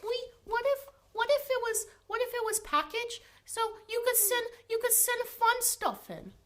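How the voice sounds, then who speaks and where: A woman voicing a sweet, eager young puppy character for a children's puppet show, talking in a childlike, halting way with repeated words. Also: very high voice, animated, a bit bright. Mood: curious, excited.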